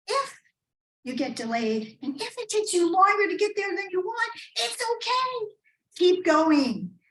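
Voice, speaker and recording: A middle-aged American woman giving a rehearsed, humorous storytelling speech in a theatrical manner with dramatic pauses. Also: sits high. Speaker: speech contestant. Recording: roomy, echoing live speech pickup.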